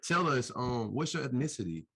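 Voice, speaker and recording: A man's voice: mid-range voice, very animated, moderate pace, vocal fry, bright, forceful tone, slightly rough. A man co-hosting a podcast, talking in an enthusiastic, hyped, loose way. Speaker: podcast co-host. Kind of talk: casual hype podcast talk.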